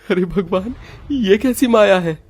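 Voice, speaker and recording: A man's voice: high voice, unhurried, a little mellow, faint music behind, voice pushed up. A man voicing a poor, desperate village father in a cartoon story, anxious and exclaiming. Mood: astonished.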